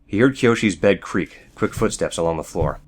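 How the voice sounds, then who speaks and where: Very low-pitched male audiobook narrator. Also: lively intonation, normal speed, a little hiss or hum. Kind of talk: scripted fiction narration.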